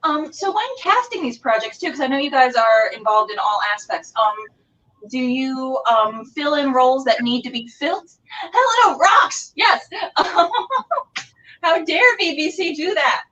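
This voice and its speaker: A woman's voice: high voice, animated, measured pace, pushed and bright, highs cut off. A woman hosting a casual interview, chatting in an informal, effusive way. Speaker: interviewer and show host. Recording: distant mic with background noise.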